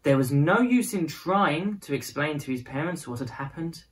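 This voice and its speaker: Woman storyteller with highly animated, swooping intonation. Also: moderate pace. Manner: expressive, dramatized phrasing for children. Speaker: storyteller reading a children's picture book. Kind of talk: scripted storybook narration in prose and verse.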